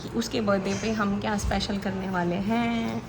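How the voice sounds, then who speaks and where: A woman's voice: medium pitch, level tone, moderate pace, echoey room, distant mic, background noise. A young mother chatting casually to the camera about her daughter's birthday.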